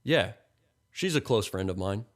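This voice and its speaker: A man's voice: on the low side, lively intonation, a little slow. A man voicing a nervous, awkward, blunt young man in a narrated story. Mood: awkward, calmer.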